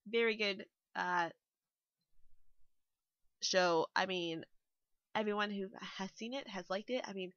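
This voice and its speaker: A woman's voice: mid-range voice, a little slow, long pauses, fairly bright tone, no echo. A young woman, a bit nasal and still a little sick, rambling casually through a voice-over walkthrough with lots of fillers. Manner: rambling, chatty, full of fillers. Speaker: game builder vlogger. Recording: dull dry lo-fi mic.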